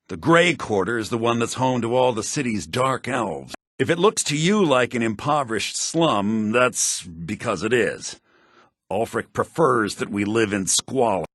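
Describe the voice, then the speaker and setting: An actor playing a bitter dark elf in a fantasy video game, resentful and matter-of-fact. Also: male voice, medium pitch, breathy edge, no room sound, studio quality, exaggerated voice. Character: bitter male dark elf city dweller. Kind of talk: video game character acting.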